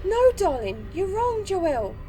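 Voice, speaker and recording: A woman's voice: very high-pitched, big pitch swings, slow pace, warm tone, soft background music, heavily acted. A woman playing a frightened, pleading wife of a madman in a melodramatic audio comic.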